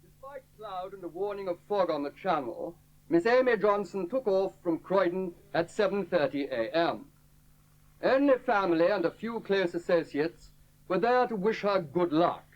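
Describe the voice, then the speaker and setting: An actor playing a formal male newsreader reading a crisp period announcement in a radio play. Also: sits high. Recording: dull lo-fi sound.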